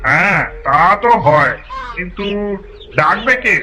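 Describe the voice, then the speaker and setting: A man's voice: high voice, expressive, measured pace, pushed and bright, slight background noise, heavily acted. A man playing a breezy, sly older village uncle in a fairy tale, chatting in an offhand, nudging way. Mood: chatty, sly. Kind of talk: animated fairy-tale voice acting.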